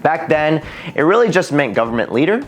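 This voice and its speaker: A young man who grew up speaking Japanese and English, hosting a scripted educational video with a lively, playful delivery. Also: a little high, a little fast, soft background music. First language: bilingual in Japanese and English. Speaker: language educator and video host.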